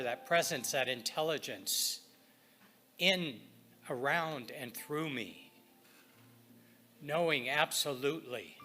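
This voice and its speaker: A man leading inspirational readings and prayer at a spiritual service, speaking deliberately and reverently in short phrases with pauses. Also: mid-range voice, bright, forceful tone.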